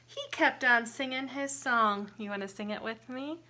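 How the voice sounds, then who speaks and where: Lady teacher doing storytime for little kids, bright voice kinda high, sing-songy and asks lots of questions. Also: normal speed. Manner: sing-song, expressive, simple phrasing with questions to young listeners. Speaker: teacher reading a picture book aloud to young children. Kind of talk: interactive children's storybook read-aloud with counting and singing.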